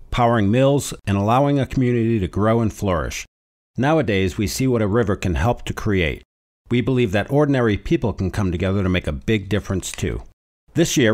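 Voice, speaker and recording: A man's voice: fairly low pitch, darkish tone. A man, a company owner, reading a recorded sponsor advertisement in an earnest, scripted way.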